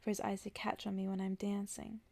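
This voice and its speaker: A woman voicing a lovesick, stubborn young village girl in a soft ASMR storybook reading, earnest and insistent. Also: medium pitch, monotone, brisk, creaky, no room sound. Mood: pleading, insistent.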